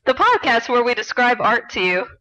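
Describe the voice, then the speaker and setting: A woman's voice: on the high side, very animated, moderate pace, highs cut off, a crowd in the background. A woman co-hosting a podcast in casual, playful conversation. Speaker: podcast co-host.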